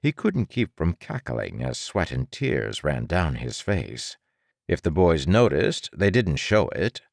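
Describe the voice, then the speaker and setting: A man narrating fiction for an audiobook in a dramatic, measured manner. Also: deep voice, big pitch swings, creaky, dry recording, clean studio sound.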